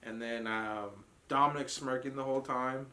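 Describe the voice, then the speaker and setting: A man's voice: medium pitch, fairly even intonation, normal speed, bright, forceful tone. A man co-hosting a casual reaction podcast, blunt and profane, ranting with frequent laughs. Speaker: podcast co-host reviewing a trailer. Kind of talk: casual group reaction chat.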